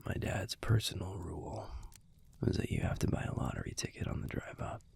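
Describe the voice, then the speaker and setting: Man acting a husky, low, gravelly American boyfriend. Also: a little mellow, in a whisper, full character voice. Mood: fond, nostalgic. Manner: laid-back, murmured, halting, casual swearing. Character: gruff tender young male werewolf boyfriend, wry and nostalgic. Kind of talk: intimate audio roleplay, speaking softly to the listener.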